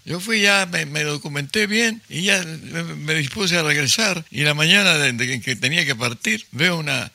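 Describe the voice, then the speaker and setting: An older writer tells stories in an interview with a gravelly, husky voice. His delivery is forceful and tense, and he often restarts sentences and acts out what people said. The recording is dry and close. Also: fairly high pitch, normal speed, hardly any pauses, no room sound. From Chile. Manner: vivid storyteller, acts out quotes, frequent restarts. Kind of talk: storytelling in an interview.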